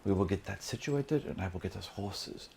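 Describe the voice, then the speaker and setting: Man acting a hushed, wary fantasy conspirator. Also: low voice, normal speed, gravelly, slight background noise, voice pushed down. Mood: hushed, urgent. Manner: terse, formal, hushed. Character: wary companion laying out a hushed escape plan.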